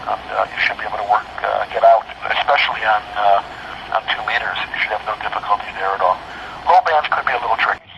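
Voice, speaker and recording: A man's voice: on the low side, quick pace, creaky. An American man making casual, rambling conversation as an amateur radio operator. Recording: dull, noisy internet radio link.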